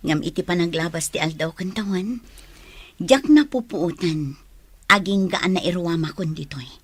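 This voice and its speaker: A woman's voice: on the low side, normal speed, darkish tone, husky, no room sound. A woman playing a patient, concerned elder sister in a radio drama. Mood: sympathetic. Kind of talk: radio drama acting in Ilocano.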